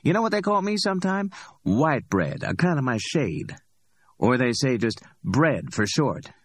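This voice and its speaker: A man's voice: mid-range voice, sing-song, slightly rough, dry recording, pitched above the performer's own voice. A man voicing a cocky middle-aged hustler who teases and drawls his words. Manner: teasing, drawn-out, challenging.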